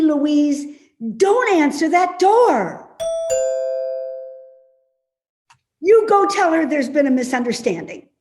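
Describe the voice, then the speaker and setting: A woman acting an elderly mother in a readers theater play, stubborn, grumbling and sharp-tongued, with a querulous delivery. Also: high-pitched, heavily acted. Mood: indignant. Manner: grumbling, guilt-tripping, pointed. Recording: roomy, echoey sound.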